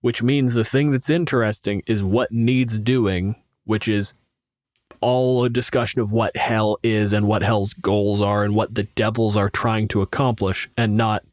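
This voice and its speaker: A man's voice: lowish voice, fairly even intonation, measured pace, darkish tone, no echo. Blunt, sweary man on a dry mic. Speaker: podcast co-host. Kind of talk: casual banter, answering listener questions.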